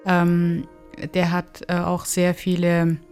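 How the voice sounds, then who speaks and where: A woman from Kyrgyzstan, giving halting interview answers as an expert. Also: on the low side, completely flat delivery, darkish tone, smooth, faint music behind. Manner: halting, hesitant delivery. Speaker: interviewed expert, legal anthropologist.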